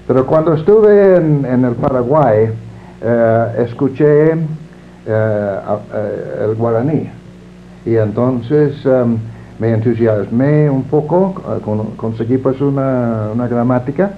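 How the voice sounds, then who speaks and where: An older man whose first language is English, answering interview questions in a formal, measured and reflective way. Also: mid-range voice, expressive. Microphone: camera mic. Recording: narrow lo-fi sound with faint hiss.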